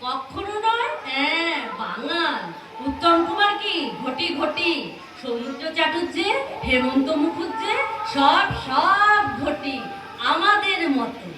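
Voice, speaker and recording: Noisy echoing recording, actress as guileless young bride, Kolkata Bengali, high voice, chatty, comic audio drama. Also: female voice, animated, full character voice. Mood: naive, protesting. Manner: unhurried, guileless, exclaiming. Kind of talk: comedy audio play acting. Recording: very echoey, distant, clearly noisy.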